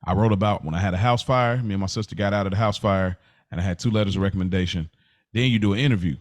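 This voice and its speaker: Male podcast host, American, lower-pitched, slangy casual storyteller, dry close sound. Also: rapid-fire, no echo. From United States, Kentucky and Indiana. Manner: animated, slangy storyteller who acts out remembered conversations.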